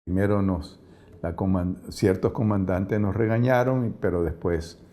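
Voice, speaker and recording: An older man recalling past events in an interview, haltingly searching for words. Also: low voice, dark tone. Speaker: interviewee, former guerrilla radio member. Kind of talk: spoken recollection in interview.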